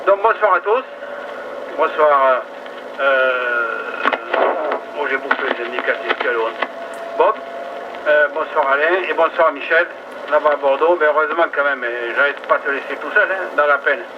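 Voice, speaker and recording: A man's voice: a little high, moderate pace. A man chatting casually on amateur radio from inside a vehicle, heard over a two-way transmission. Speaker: mobile amateur radio operator. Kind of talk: casual ham radio chat. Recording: muffled, very noisy VHF radio relay.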